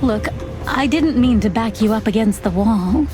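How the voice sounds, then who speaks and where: A woman acts out a poised young servant with secrets in a film-noir game. Her voice sits fairly high, and her manner is measured, coy and evasive. Music plays clearly underneath. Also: animated, close to an everyday voice. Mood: composed.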